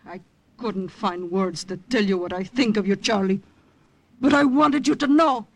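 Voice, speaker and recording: An actress plays a young woman, proud and bitter, in a vintage radio play. She speaks gravely and deliberately, whether narrating or in dialogue, with music prominent in the recording. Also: medium pitch, lightly acted. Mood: cold contempt. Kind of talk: old-time radio drama acting, first-person narration and dialogue.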